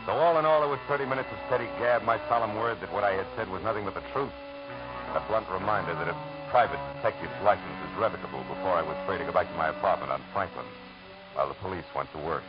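A man's voice: low voice, fast, lo-fi sound, over music, close to an everyday voice. A man playing a hard-boiled private eye. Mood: dry. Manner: dry, wisecracking. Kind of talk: 1940s radio acting with first-person narration. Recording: hissy old radio broadcast recording.

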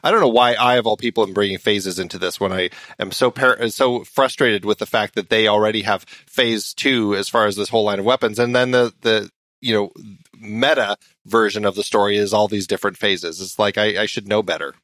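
A man's voice: fairly low pitch, steady tone, brisk, fairly bright tone, no echo. A man giving casual, analytical film commentary as a podcast co-host, explaining things and checking in as he goes.

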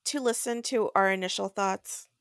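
A woman's voice: mid-range voice, moderate pace, no echo, clean studio sound. A woman co-hosting a podcast, casually discussing and recapping books. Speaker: podcast co-host.

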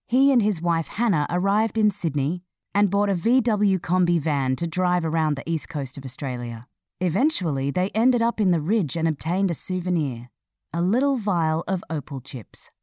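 A woman narrating a scripted feature article in a measured, clearly read delivery. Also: on the low side, warm tone, no room sound, studio quality.

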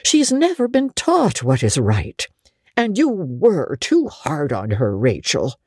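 A stern, fair-minded guardian woman as a female reader plays her in a storybook. She speaks deliberately and firmly, holding herself back, in a low, dry voice. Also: huge pitch swings, mellow, breathy edge, no room sound, heavily acted. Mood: measured reproach. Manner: firm, restrained, deliberate. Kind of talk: dramatic audiobook character acting.

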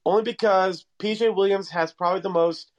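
A man's voice: high voice, fairly even intonation, a little fast. A man talking casually as a fan guest on a sports call-in show.

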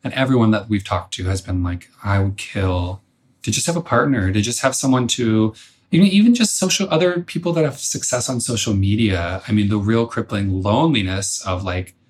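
Earnest young man with a lowish voice. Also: fairly quick. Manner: earnest, reflective, animated. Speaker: guest, comedian and muralist. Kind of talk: casual podcast conversation.

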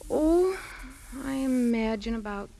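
An actress playing a polite, earnest hospital nurse, slightly hesitant, in 1950s radio drama style. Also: female voice, fairly high pitch, animated, slow, dark tone. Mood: earnest, cooperative. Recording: noisy 1950s radio broadcast recording.

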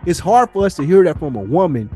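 A man's voice: a little high, big pitch swings, fast talker, warm tone, soft background music. A man hosting a podcast, talking casually and reflectively in an earnest, slangy, rambling way. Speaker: podcast host.

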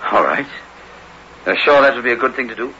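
An actor playing a practical, authoritative prison governor, measured and commanding, in a 1960s radio horror drama. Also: male voice, medium pitch, animated, bright, forceful tone. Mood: resigned. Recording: dull, noisy vintage radio recording.

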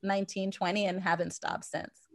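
A woman's voice: medium pitch, flat delivery, moderate pace. American woman telling personal stories conversationally. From born in Jamaica, grew up in Boston, USA. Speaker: podcast guest, anthropologist and professor. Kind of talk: conversational interview, personal storytelling.

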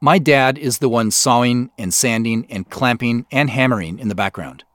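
A middle-aged man with an American accent, giving measured, explanatory scripted commentary as the creator of a show. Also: mid-range voice, fairly bright tone, no room sound. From US Pacific Northwest. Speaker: audio drama creator and host. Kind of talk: scripted behind-the-scenes commentary.

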